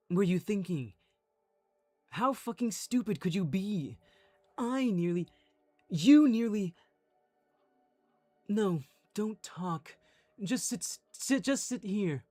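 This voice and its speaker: Man acting out a possessive, foul-mouthed young guy, slow delivery. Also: high-pitched, a little mellow, dry recording, heavily acted. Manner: aggressive, swearing, commanding. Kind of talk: dramatic audio roleplay reading.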